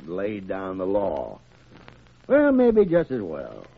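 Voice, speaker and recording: Raspy, crusty old radio-drama doctor. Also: male voice, low voice, wildly swooping pitch, takes it slowly, exaggerated voice. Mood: grumbling, decisive. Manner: blunt, irascible, grumbling. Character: gruff, stubborn, crusty old senior doctor. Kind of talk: 1940s radio drama acting. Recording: tinny, narrow old radio recording.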